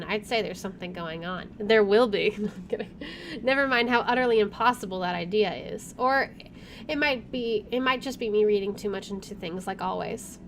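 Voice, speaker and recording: Female let's play host: slightly high voice, reading game narration with casual asides, light background noise. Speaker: gaming streamer.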